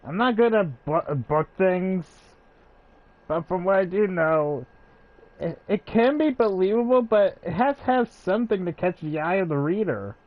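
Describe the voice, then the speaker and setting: A man streaming a game playthrough, chatting casually and excitably, stumbling over his words. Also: high-pitched, a little slow, muffled recording. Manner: excitable, stammering, jokey. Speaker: gaming streamer.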